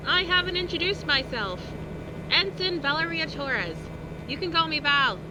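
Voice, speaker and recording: A woman's voice: sits high, expressive, normal speed, background noise. An actress in her twenties playing an eager, dutiful young military officer in an audio drama, polite and earnest. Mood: polite, concerned. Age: 20s. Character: eager, dutiful young female navy ensign.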